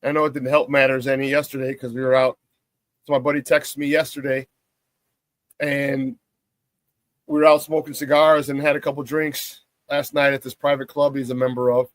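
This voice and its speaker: A hoarse, raspy man hosting a morning sports talk show, riffing playfully in a casual, hype-man style. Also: mid-range voice, little pitch movement, moderate pace, no echo. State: hoarse from a cold.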